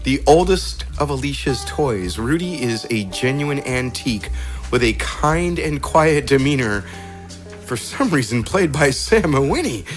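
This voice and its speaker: Animated man hosting a game. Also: mid-range voice, a little slow, faint music behind. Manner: chuckles through his announcements. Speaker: game host. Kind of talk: casual game introduction with character read-outs.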